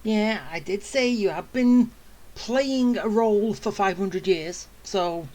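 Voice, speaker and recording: A woman giving casual, halting gameplay commentary and talking back to the characters. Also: medium pitch, dark tone. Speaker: gameplay streamer reacting to story.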